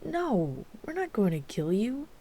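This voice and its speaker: A woman's voice: medium pitch, big pitch swings, measured pace, mellow. A woman playing a wry, chatty, flirtatious mafia boss with an apologetic streak in an ASMR roleplay. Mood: wry, explaining. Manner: wry, exasperated, chatty, teasing. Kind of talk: ASMR roleplay audio drama.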